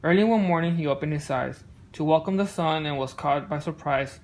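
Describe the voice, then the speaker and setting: A woman reading a children's story aloud and offering casual, gentle encouragement as a parent. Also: deep voice, brisk, a little hiss or hum. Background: music.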